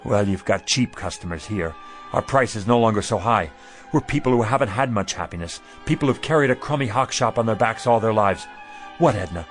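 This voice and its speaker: An actor playing a weary, hot-tempered, grasping pawnshop owner in a radio drama, with a blunt, emphatic, theatrical delivery. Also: male voice, lowish voice, animated, brisk, soft background music, lightly acted. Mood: pleading.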